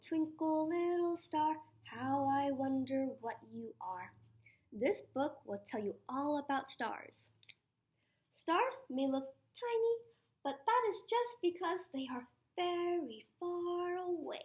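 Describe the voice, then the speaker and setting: A woman preschool teacher reading a children's science picture book aloud, putting questions to her young listeners in an animated, encouraging way. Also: high voice. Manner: child-directed, asks questions and answers them herself.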